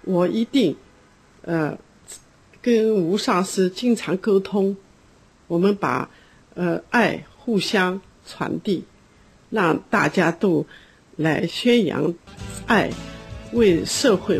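A schoolteacher speaking earnestly in an interview, often pausing with hesitations. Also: female voice, fairly low pitch, husky, soft background music. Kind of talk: interview testimony.

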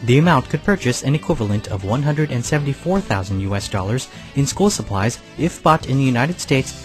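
A man reading scripted documentary narration in a calm, measured way as the program's voice-over. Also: medium pitch. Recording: faint music underneath.

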